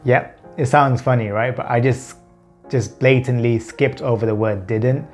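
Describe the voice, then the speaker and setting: A man's voice: mid-range voice, steady tone, normal speed, soft background music. A man in his thirties talking to camera in a personal vlog, earnest and open, stammering with repeated words and blocks left unedited. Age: 30s. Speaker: vlogger.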